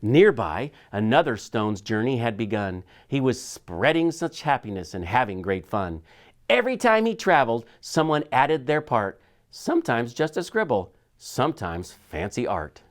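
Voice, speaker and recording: Animated man reading a children's story. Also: medium pitch, normal speed. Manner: animated storytelling with dramatic shifts. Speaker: school superintendent reading aloud. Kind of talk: expressive children's picture book read-aloud.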